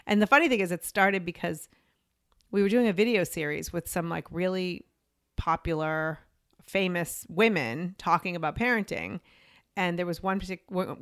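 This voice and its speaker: A woman's voice: lowish voice, fairly bright tone, dry recording, clean studio sound. A woman in her forties, a podcast and media coach, telling her story in a chatty way full of "you know" and "like". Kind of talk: casual interview storytelling.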